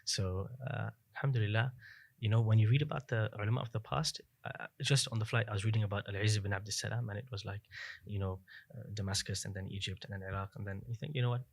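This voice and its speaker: A man in his thirties, a podcast guest, telling his story in a fast, reflective way with many fillers. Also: lowish voice, fairly even intonation, creaky. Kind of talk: casual interview chat.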